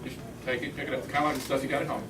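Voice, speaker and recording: A man's voice: mid-range voice, flat delivery, very fast. A man doing a magic act live on stage, talking his way through it in casual, teasing, improvised patter. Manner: joking, teasing. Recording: echoey, noisy live room, distant mic.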